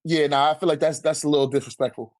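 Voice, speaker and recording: High-pitched man talking in casual slang. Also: steady tone, fast, a little mellow, no echo, studio quality. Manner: slangy, profane, informal. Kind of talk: casual debate about manga character rankings.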